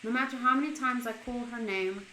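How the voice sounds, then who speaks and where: A woman streaming a visual novel playthrough, reading the narration aloud in an expressive, dramatic way with excitable interjections. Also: a little high, brisk, slight background noise. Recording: roomy echo.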